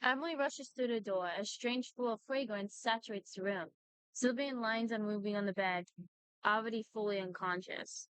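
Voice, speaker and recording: A woman's voice: a little high, normal speed, a bit bright, smooth, no room sound, low-quality recording. A woman streaming a video game, chatting casually and reading dialogue aloud over game music.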